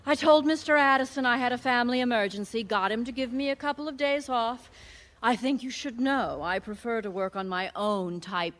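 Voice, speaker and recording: An actress playing a brisk, particular, slightly peevish secretary in a radio mystery, recorded with echoing reverb. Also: female voice, on the high side, a bit bright, close to an everyday voice. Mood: brisk, peeved.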